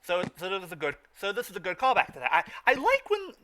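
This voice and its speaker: A man giving casual live commentary as host, halting and often repeating his words. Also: high voice, wildly swooping pitch, pushed and bright, slight background noise. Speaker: movie riffing host.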